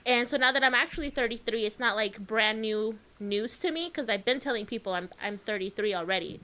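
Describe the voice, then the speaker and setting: Woman in her 30s, bright voice, kinda higher, blunt comebacks on a podcast. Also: a little fast. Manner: blunt, dry retorts. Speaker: podcast co-host. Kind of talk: casual chat.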